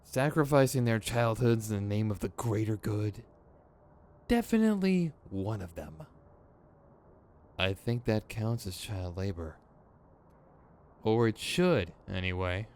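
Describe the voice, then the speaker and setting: A man's voice: lowish voice, lively intonation, a little slow, pauses often, a little mellow. A man playing an exasperated but principled, protective villain in an audio roleplay, with a sardonic, emphatic, conversational delivery. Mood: indignant, protective. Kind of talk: ASMR roleplay acting. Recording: clear background noise.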